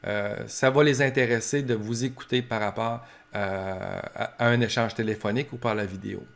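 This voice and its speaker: A man's voice: lowish voice, steady tone, moderate pace, gravelly. Québécois man explaining in an informal way. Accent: Québécois French. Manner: explanatory, colloquial, acts out short examples. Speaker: professor giving advice to family caregivers. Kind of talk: informal instructional talk.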